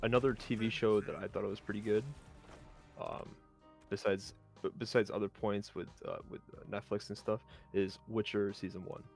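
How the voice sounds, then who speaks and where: A man on a discussion panel chatting casually, haltingly, often repeating words as he goes. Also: fairly low pitch, little pitch movement, vocal fry, soft background music. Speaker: round table panelist. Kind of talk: casual chat.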